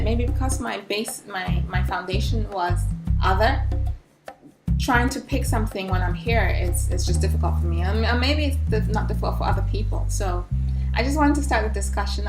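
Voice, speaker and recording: A woman from Ghana, a vlogger talking to camera in a thoughtful, musing way, often restarting her sentences. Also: medium pitch. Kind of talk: casual talk to camera. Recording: echoey room with loud music behind.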